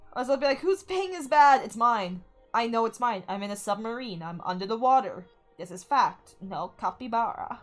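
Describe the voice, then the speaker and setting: A young woman streaming a game, chatting casually and bubbly with her viewers. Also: on the high side. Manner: bubbly, chatty, talks to chat. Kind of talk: casual gaming stream chat.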